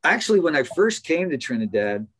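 A middle-aged man, a biologist, talking casually as an interview guest over a video call from his office, relaxed and laughing often. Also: on the high side, brisk, breathy edge. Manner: relaxed, chatty, laughs often, some restarts. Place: office with bookshelf. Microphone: video call webcam.

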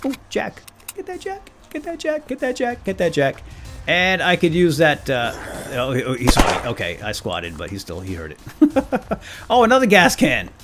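A man's voice: on the high side, huge pitch swings, normal speed, fairly bright tone, soft background music. A man doing casual, playful let's play commentary, talking to himself and his viewers with exclamations. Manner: playful, self-chatting, exclaiming. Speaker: host of a gaming series.

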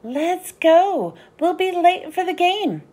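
A woman voicing a loud, enthusiastic father frog who coaches soccer in a storybook, calling out and chanting bossy instructions. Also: extremely high pitch, animated, takes it slowly, pitched above the performer's own voice, heavily acted. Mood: urgent calling.

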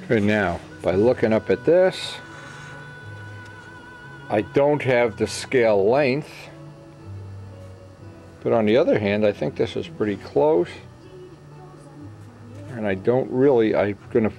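A man's voice: on the low side, dark tone. A middle-aged man giving an informal hands-on workshop tutorial, thinking aloud in short, explanatory phrases. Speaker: model airplane builder giving a tutorial. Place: indoor workbench.

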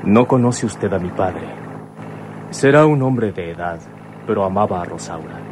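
A man acting a courteous, serious son in a radio drama. His voice is low and measured in tone, with faint traffic-like noise behind it. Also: lively intonation, lightly acted. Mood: explaining, defensive. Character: sober, courteous adult son of a police commissioner.

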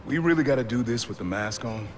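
An actor playing a gruff, streetwise uncle, a protective ex-criminal, in a video game cutscene. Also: male voice, mid-range voice, lively intonation, quick pace, a little hiss or hum, lightly acted. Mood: wry. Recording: game audio with music behind.

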